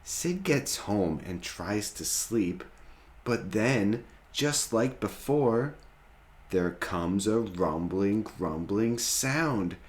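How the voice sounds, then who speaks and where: A man reading a children's storybook aloud as host, with expressive, dramatic delivery and drawn-out key words. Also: lowish voice.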